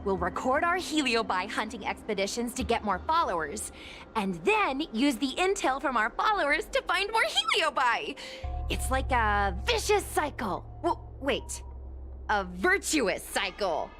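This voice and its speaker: A woman's voice: sits high, very animated, unhurried, a bit bright, faint music behind, full character voice. A woman voicing a bubbly, enterprising young girl in a video game, chatty and confidently persuasive. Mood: enthusiastic pitching. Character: bubbly, enterprising young ghost-hunting girl, eager for followers. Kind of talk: animated video game voice acting.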